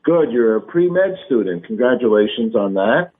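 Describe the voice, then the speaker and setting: A man's voice: mid-range voice, lively intonation, normal speed, husky. A man hosting a casual radio interview, chatty and jokey, often stumbling over his words with fillers. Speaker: radio show host. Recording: narrow phone-like broadcast sound.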